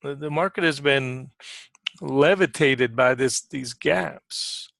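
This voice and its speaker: Gravelly man giving informal trading commentary. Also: medium pitch, slow pace, a bit bright, no room sound, clean studio sound. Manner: frequent throat clearing. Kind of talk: informal live market commentary.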